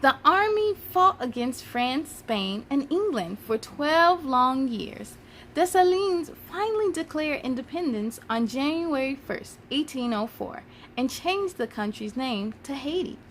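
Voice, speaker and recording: A woman hosting a children's read-aloud, reading expressively and speaking to young viewers in an animated, emphatic way. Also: high voice, unhurried, slight background noise.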